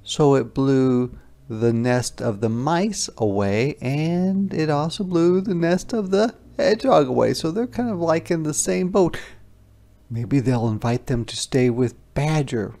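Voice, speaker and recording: A read-aloud teacher narrating a picture book for young children. The voice is gravelly with fry and unhurried, expressive, stopping for simple explanations and questions. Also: medium pitch. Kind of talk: interactive children's story narration with casual asides.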